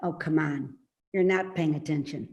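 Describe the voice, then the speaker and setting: A woman playing a sharp-tongued, evasive elderly mother in a readers theater play, with a dry, needling, dismissive delivery. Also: sits low, normal speed, lightly acted. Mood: impatient.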